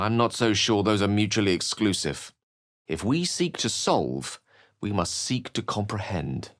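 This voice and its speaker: A low-pitched, bookish wizard in a fantasy video game. He is wry and courteous, choosing ornate words with theatrical care. His intonation rises and falls widely, and the recording is dry and close. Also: sharp tone, dry recording, heavily acted. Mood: wry, musing. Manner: articulate, ornate, dramatic phrasing. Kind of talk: theatrical fantasy game dialogue and storybook-like narration.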